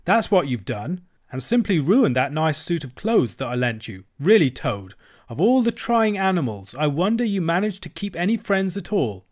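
A man's voice: a little high, sing-song, moderate pace, voice pushed up. A man voicing the Water Rat, a cross but kind-hearted male rat who scolds his friend. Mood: scolding. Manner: scolding, reproachful.

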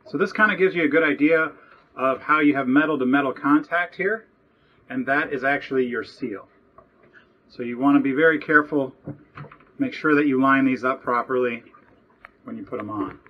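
A man's voice: medium pitch, normal speed, long pauses, slightly rough, distant mic, highs cut off. A man in his thirties walking through a repair step by step while working in a cramped sailboat cabin, recorded on a nearby action camera. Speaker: boat owner showing a repair. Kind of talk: hands-on how-to walkthrough.

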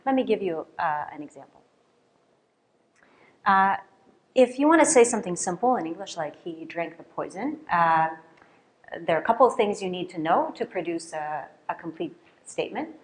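A woman's voice: fairly low pitch, moderate pace, pauses often. Woman lecturing, a Russian native speaker. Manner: lively, questioning, with frequent "right?" and fillers. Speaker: keynote speaker. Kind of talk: conference lecture. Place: large lecture hall. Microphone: lectern microphone.

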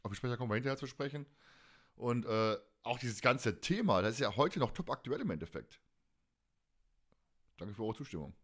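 Man, forties, podcast host, casual chat, very varied pitch, enthusiastic. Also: expressive, fairly quick, pauses often, fairly bright tone. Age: 40s.

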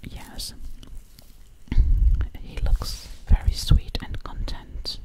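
A woman hosting ASMR in a close, breathy whisper, soothingly repeating her phrases. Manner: slow, soothing repetition of phrases. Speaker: ASMR video host. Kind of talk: ASMR roleplay pampering. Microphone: close microphone.

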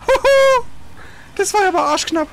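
A man giving casual, slangy let's play commentary while he plays, reacting out loud to the game. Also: very high-pitched, lively intonation, normal speed, a little hiss or hum, rough recording. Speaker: let's player.

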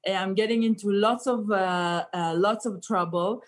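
A woman's voice: medium pitch, steady tone, normal speed. Woman, thirties, stand-up comedian, video call, chatty, fillers. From Israel. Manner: chatty, joking, many fillers. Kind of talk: comic chat on a video call. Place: indoor room. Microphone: laptop webcam on video call.

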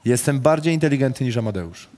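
A man's voice: medium pitch, expressive, fast talker, darkish tone. Polish man firing off blunt questions. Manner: blunt, swears casually. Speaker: host reading out statements. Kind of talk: rapid-fire question round.

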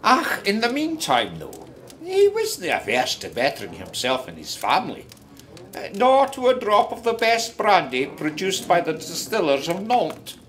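An actor playing a chatty, wry Scottish storyteller in a radio drama, confiding and colloquial. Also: male voice, high-pitched, wildly swooping pitch, unhurried, a bit bright, exaggerated voice. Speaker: narrator. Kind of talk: conversational radio drama narration.

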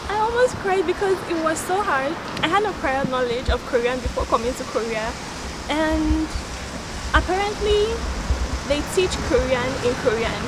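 A young woman in her twenties chatting casually while giving an outdoor campus walking tour, friendly and quick to laugh. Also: high voice, heavy background noise. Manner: chatty, laughs often, friendly advice to viewers. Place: outdoors on a street and campus grounds.

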